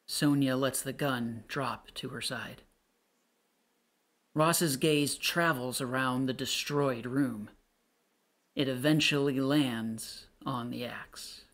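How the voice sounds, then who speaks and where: A man's voice: mid-range voice, fairly even intonation, slow, a bit bright. A man reading stage directions as scripted narration for a play.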